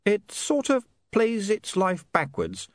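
A calm young man speaks in a distant, dry-witted way, as a reader plays him. His voice sits a bit high and moves in a lively way. The recording is dry and close. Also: slow, no room sound, full character voice.

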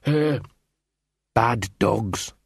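A man's voice: fairly high pitch, lively intonation, a little slow, dry recording, clean studio sound, voice pushed up. A man voicing a nervous, scruffy little street dog for an audiobook, streetwise and fearful, with a hesitant, muttering manner. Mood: anxious. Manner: anxious, hesitant, muttering and moaning. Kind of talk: audiobook character voice.

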